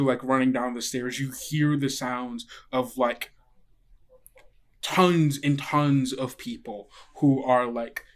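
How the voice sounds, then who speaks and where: Man, mid-pitched voice, game master, improvised tabletop narration, casual, halting, colloquial. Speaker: game master and podcast host.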